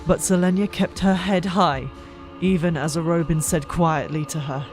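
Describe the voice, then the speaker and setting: A narrator reading fantasy fiction in a dramatic, deliberate and expressive style. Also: mid-range voice. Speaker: audiobook narrator. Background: soft background music.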